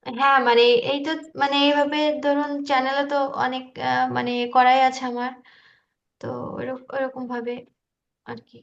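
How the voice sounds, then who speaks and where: Woman tutor, high flat voice, dull online-call audio, hesitant informal walkthrough. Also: moderate pace, gravelly. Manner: hesitant, checks listeners understand. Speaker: tutor demonstrating video animation software. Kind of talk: informal screen-share tutorial. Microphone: online meeting call.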